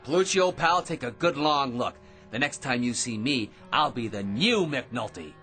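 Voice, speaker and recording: An actor in an old-time radio drama playing a boastful, excitable barfly who chatters in wheedling slang. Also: male voice, medium pitch, normal speed, faint music behind, lightly acted. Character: boastful, excitable, talkative male barfly with a magic gizmo.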